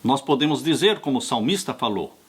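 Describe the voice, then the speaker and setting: A man in his sixties, a pastor, preaching an earnest and unhurried sermon that addresses his listeners tenderly as dear ones. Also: medium pitch, expressive, husky. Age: 60s. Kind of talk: sermon on a Bible study lesson.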